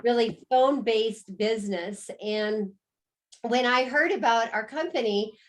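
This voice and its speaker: A middle-aged American woman, the co-host, tells her own story on a video call in a warm, upbeat sales style. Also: fairly high pitch, a little slow. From United States. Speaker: co-host and business presenter. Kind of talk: business pitch on a video call.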